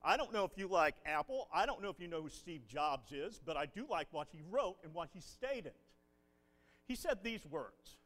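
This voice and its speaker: A man, a visiting preacher leading a Bible class and prayer in a folksy, animated way. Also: fairly high pitch, moderate pace. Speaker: guest preacher. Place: church building.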